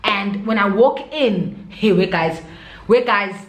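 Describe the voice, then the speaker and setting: A woman's voice: mid-range voice, takes it slowly. A woman with a South African accent telling stories casually and expressively as a vlogger, dropping in Zulu interjections. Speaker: vlogger, expatriate teacher. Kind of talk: casual chat to camera. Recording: echoey room.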